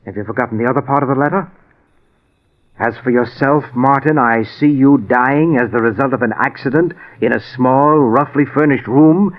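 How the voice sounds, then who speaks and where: A man's voice: mid-range voice, moderate pace, natural voice. An actor playing a haunted man obsessed with cheating death, in an agitated, theatrical 1940s radio drama style. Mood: urgent resolve. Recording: muffled, band-limited old 1940s radio recording.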